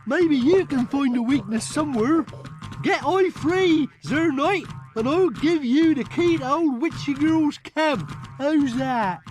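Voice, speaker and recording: An actress playing a rustic, put-upon farm dweller in a video game, with a folksy English West Country accent. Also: female voice, high-pitched, animated, slow, a little mellow, faint music behind, low-quality recording. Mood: grumbling, pleading. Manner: folksy, plaintive. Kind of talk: video game character acting.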